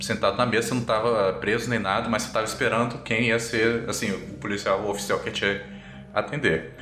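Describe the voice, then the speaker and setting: A Brazilian man narrating a tabletop game as game master, improvising as he goes. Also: medium pitch. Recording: roomy echo, loud background music.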